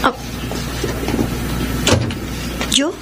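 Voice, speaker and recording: A woman's voice: fairly quick, very noisy, close to an everyday voice. An actress playing a hurt, defiant young Filipino girlfriend in a melodramatic radio drama. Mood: tired, irritated. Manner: melodramatic, emotional. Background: dramatic music.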